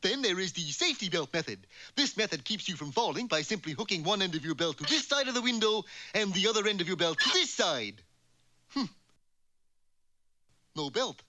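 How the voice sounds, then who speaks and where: An actor voicing a dim but self-assured moose giving mock instruction in a cartoon, in a dopey tone. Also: male voice, sits high, very animated, fairly quick. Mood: earnest. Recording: noisy old cartoon soundtrack.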